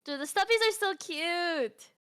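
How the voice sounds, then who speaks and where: A woman's voice: very high-pitched, unhurried, a bit bright. A woman guest in a casual chat, laughing often and rambling in slangy speech. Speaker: guest streamer.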